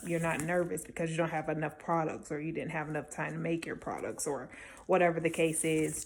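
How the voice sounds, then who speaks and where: A woman with a slightly low voice gives casual, motivational advice. She speaks in an encouraging, emphatic way, like someone coaching newcomers from her own experience. Her delivery is conversational, with small check-ins to the listener. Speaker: small-business vendor giving advice.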